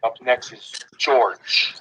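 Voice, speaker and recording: Guy on voice chat goofing around, bright but kinda husky, teasing and crude. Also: mid-range voice, slow pace, rough recording. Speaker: gaming channel host. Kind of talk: joking voice chat while role-playing a roll call.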